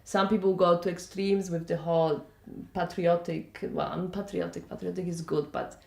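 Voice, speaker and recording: A woman's voice: on the low side, little pitch movement, normal speed, vocal fry. A woman from Poland with a Polish accent, speaking conversationally and in a rambling way as she answers interview questions. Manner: conversational, rambling, checks in with "you know" and "yeah?". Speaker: interviewee, festival organiser. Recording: echoey room.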